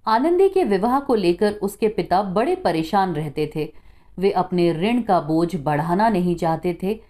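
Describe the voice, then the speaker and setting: Unhurried woman storyteller with a lively pitch. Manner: measured, expressive storytelling. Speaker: storyteller, narrator. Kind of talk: scripted short story narration.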